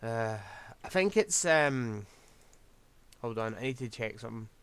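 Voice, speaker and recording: A man's voice: mid-range voice, expressive, measured pace, a bit bright, clear, resonant tone, no echo. A man, rambling through casual gameplay commentary while losing his voice. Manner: rambling, scatterbrained, self-deprecating. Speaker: game streamer.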